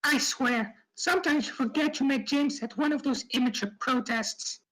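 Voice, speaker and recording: High-voiced, earnest activist woman played by an actress. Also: moderate pace, a bit bright, poor audio. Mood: indignant. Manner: earnest, argumentative. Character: principled activist woman in her forties, argumentative sister. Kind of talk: live screenplay table read.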